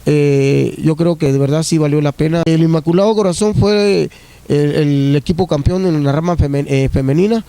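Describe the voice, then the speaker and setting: Gravelly Guatemalan official, hesitant. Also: male voice, fairly high pitch, normal speed, mellow, no echo. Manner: hesitant, many fillers and restarts. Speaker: municipal youth unit coordinator. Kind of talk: on-site interview. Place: outdoor municipal stadium.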